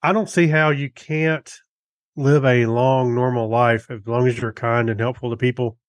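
An American man talks casually as a podcast co-host. His voice sits at an average male pitch and his manner is folksy and easygoing. The recording is dry and close. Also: fairly even intonation, moderate pace, no echo. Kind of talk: casual chat.

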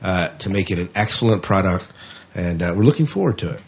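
A man with a very low, husky voice co-hosts a podcast. He talks in a casual, conversational style, presenting news items as part of a chat. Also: lively intonation, fast talker. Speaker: podcast co-host.

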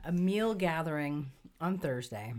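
Lowish woman cohost, chatty and thoughtful, tosses out questions. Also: a little slow. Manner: thoughtful, poses questions, lively emphasis. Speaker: podcast co-host. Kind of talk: casual conversational chat.

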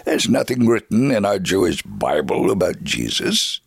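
Curious questioners fire off quick, pressing questions, as a man reads them out in a dramatic reading. His voice is low and gravelly, with a husky edge. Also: very animated, no echo, studio quality, heavily acted. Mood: questioning. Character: curious adult questioners, eager and doubtful.

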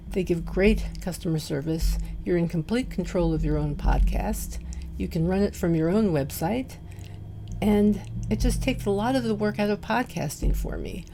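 A woman hosting a podcast interview, friendly and quick to laugh, also reading a sponsor message. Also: mid-range voice, mellow, slight background noise, rough recording. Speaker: podcast host.

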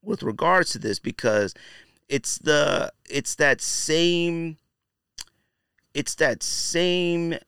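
Male podcaster, smooth and clean tone, dry close sound, casual slangy opinion delivery with blunt emphasis. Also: on the high side, animated, drawn-out words, a bit bright, no room sound. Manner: conversational and slangy, frequently saying "you know" and "man". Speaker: podcast host.

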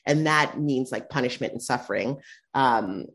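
A woman speaking as a guest in a conversational interview, often tagging her points with "right?" and "you know". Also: moderate pace. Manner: animated, pitch jumps when quoting others.

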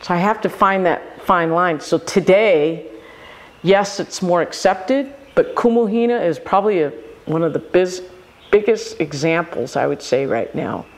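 A man's voice: sits high, measured pace. A man around sixty from Hawaii, a filmmaker answering interview questions and animatedly acting out past conversations. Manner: animated storyteller, acts out quoted exchanges. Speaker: filmmaker guest.

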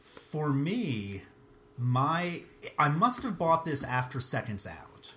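A man's voice: on the high side, big pitch swings, slow pace, sharp tone. Casual male host, slight background noise. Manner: conversational, prompting others with questions. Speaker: host of music album discussion. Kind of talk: casual panel chat.

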